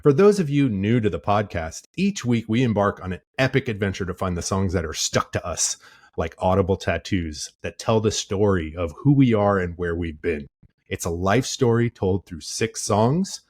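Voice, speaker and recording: A man's voice: low-pitched, expressive, moderate pace, a little mellow. A man hosting a podcast, moving between a prepared introduction and casual conversational talk. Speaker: podcast host.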